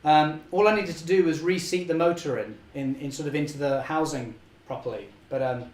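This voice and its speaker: A young man, slightly hoarse, chatting cheerfully through a live model railway session and correcting himself often. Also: fairly high pitch, a little fast, darkish tone, echoey room. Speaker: model railway channel host. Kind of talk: live-stream running commentary.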